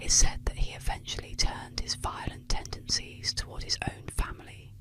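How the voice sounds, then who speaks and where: Whispering woman, ASMR storyteller. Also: on the high side. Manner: slow, soothing bedtime delivery. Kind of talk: ASMR whispered scripted narration of eerie legends. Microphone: close-up microphone.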